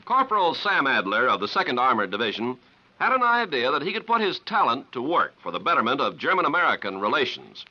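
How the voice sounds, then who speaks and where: A man's voice: medium pitch, big pitch swings, quick pace. A man delivering formal, declamatory scripted announcements as a 1950s radio announcer. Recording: dull vintage 1950s radio recording.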